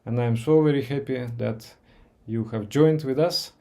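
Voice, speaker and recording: A man in at least his forties with a Russian accent talks slowly and softly as a meditation teacher. His voice is warm and mellow, and he pauses often, repeating words and searching for them in English. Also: medium pitch. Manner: slow, pausing, gentle, with many "uh"s and repeats. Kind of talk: live Q&A session with viewers.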